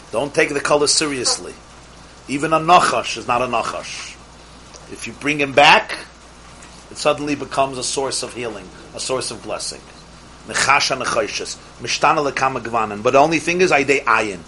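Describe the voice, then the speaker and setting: A man teaching a Torah class, lively and emphatic, mixing in Hebrew terms and swinging from loud exhortation to quiet asides. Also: a little high, a little hiss or hum.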